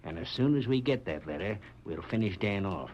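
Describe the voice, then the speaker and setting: A man's voice: on the low side, animated, a little fast, darkish tone, natural voice. An actor playing a calculating outlaw henchman with a folksy cowboy drawl in an old-time radio western. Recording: muffled, noisy vintage radio recording.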